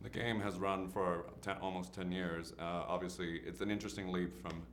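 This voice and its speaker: A man with a low voice conducts a conversational press interview. He is a native Spanish speaker from Puerto Rico and feels his way haltingly through long questions, with some background noise. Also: little pitch movement.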